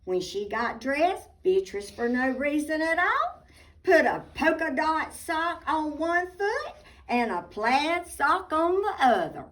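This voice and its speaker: A woman reads a picture book to children in a lively, swooping voice. She takes it very slowly, pausing for drama and adding little asides to her listeners. She sounds set back from the microphone. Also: high-pitched. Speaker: storybook reader. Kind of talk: animated read-aloud of a children's picture book. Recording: voice distant from the mic.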